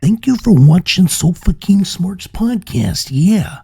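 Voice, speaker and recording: Raspy wrestler shout, gravelly, swooping pitch. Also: male voice, expressive, takes it slowly, exaggerated voice. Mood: hyped. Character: gravelly shouting wrestler impression, adult man. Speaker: podcast co-host.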